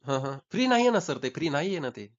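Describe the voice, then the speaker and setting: A man talks very quickly on a recorded phone call with dull, lo-fi sound. He argues his side insistently, repeating points as he goes, in a slightly high voice. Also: big pitch swings. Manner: insistent, argumentative, repeating himself. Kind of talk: informal debate.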